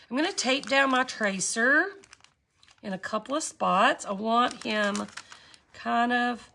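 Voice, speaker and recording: A woman's voice: sits high, sharp tone, slight background noise. A cheerful woman, teaching glass art on a livestream and chatting with viewers. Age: 50s.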